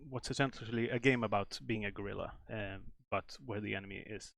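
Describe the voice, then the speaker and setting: A man from Sweden, a game developer explaining at length in a stream interview, with many pauses and restarts. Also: on the low side, fairly even intonation, gravelly, a bit bright, dry recording.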